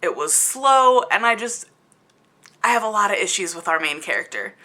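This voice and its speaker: Bright-voiced woman giving a blunt review. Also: mid-range voice, very animated. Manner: exasperated, blunt, conversational with emphatic drawn-out words. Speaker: book reviewer hosting a video channel. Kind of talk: casual opinionated review to camera.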